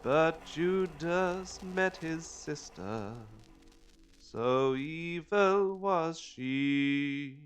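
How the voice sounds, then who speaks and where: An actor playing a fervent, dignified asylum lunatic in an audio drama, formal, courteous and pleading. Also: male voice, on the high side, extremely slow, clean tone, soft background music. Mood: wistful singing. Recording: long reverberant hall.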